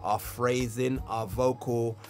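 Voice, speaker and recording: A man's voice: mid-range voice, little pitch movement, slow. A man giving an instructional tutorial as a music tutor, explaining technique with emphasis on key words.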